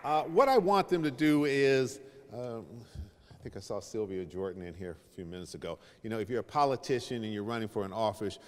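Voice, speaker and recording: A man's voice: fairly low pitch, expressive, brisk, long reverb tail, a faint crowd, a little hiss or hum. A middle-aged man moderating a panel before an audience, speaking informally in a conversational, joking, self-deprecating way. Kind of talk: informal spoken introduction to an audience. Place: large reverberant event room.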